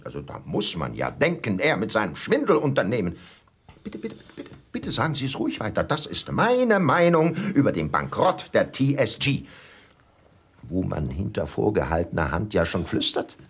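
An actor playing a pompous, scornful colonial hotelier in a German radio play, blustering and insinuating with a gravelly voice. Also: male voice, low voice, exaggerated voice.

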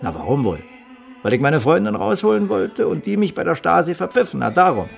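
Radio drama: blunt, forgetful middle-aged man, wry and swinging in pitch, gravelly edge. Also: very animated, a little fast, soft background music, exaggerated voice. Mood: bitter, sardonic. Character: gruff, sardonic, forgetful former escape helper. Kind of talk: naturalistic radio play acting.

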